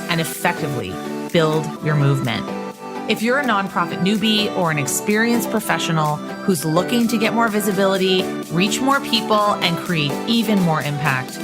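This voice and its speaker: A woman from the Boston area hosting a podcast with an upbeat, enthusiastic manner. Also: on the low side. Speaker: podcast host. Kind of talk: podcast interview and intro.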